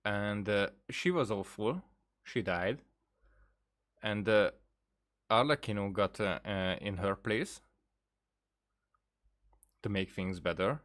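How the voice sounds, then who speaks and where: A man with a lowish voice comments casually on a game. He thinks aloud in a rambling, halting way, often doubling back on his words. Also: a bit bright. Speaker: gaming video creator.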